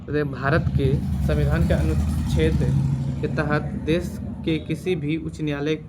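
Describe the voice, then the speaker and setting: A man teaching through exam quiz questions, reading options and repeating answers deliberately. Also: a little high, flat delivery, heavy background noise.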